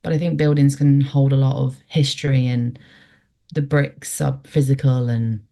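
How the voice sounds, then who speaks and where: A woman's voice: a bass voice, level tone, moderate pace, gravelly, mellow. A woman, a guest recounting a personal experience in a reflective, hesitant way. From West Yorkshire, England. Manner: reflective, hesitant, lots of fillers. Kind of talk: conversational storytelling interview.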